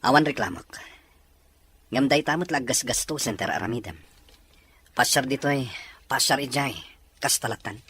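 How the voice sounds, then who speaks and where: A man's voice: mid-range voice, moderate pace, pauses often, a bit bright, slight background noise, close to an everyday voice. A man acting a worried, reproachful husband in an Ilocano radio drama, scolding and exasperated.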